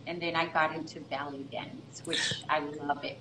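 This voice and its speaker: A woman's voice: low voice, little pitch movement, moderate pace, sharp tone, echoey room, a little hiss or hum, rough recording. A middle-aged woman with a Spanish-tinged Texas border accent, a guest talking casually and laughing often. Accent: Mexican-American Spanish-tinged. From Texas, US–Mexico border. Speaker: guest, dancer. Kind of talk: casual interview.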